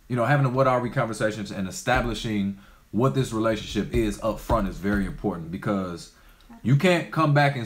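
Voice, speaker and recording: A young man co-hosting a casual couple chat, laid-back and slangy, recorded in a small room. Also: mid-range voice. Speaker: co-host.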